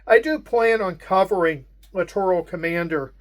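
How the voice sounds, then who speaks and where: A man with a Pittsburgh accent, hosting a casual unboxing and walking through the contents in a measured way with frequent pauses. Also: high-pitched. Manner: unhurried, measured, with frequent hesitations. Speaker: wargame channel host.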